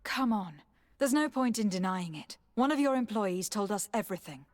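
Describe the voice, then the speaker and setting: A woman's voice: medium pitch, animated, moderate pace, no room sound, studio quality, lightly acted. A woman playing a composed, assertive young noblewoman diplomat in a video game, speaking in a polite, formal and firm way. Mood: firm, commanding. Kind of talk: video game dialogue acting.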